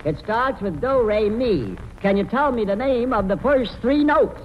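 Very high-pitched 1940s comic quizmaster man. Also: animated, a little slow, lo-fi sound. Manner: echoes answers, rattles off gags. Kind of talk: 1940s radio comedy quiz hosting. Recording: noisy 1940s radio recording.

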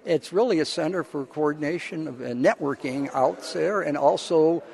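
Halting, higher-voiced male professor with creak. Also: a little mellow. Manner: halting, rambling explanations. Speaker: college professor being interviewed. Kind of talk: informal interview answers.

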